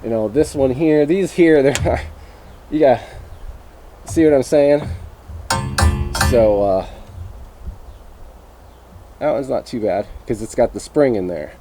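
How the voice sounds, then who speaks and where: A man with a soft, mellow voice at a middling pitch talks through a hobby test. He is folksy and self-deprecating, speaking off the cuff as a vlogger, with wind and vehicle noise around him. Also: takes it slowly, pauses often. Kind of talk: casual unscripted vlog narration. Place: outdoors and around a vehicle, handheld or mounted camera.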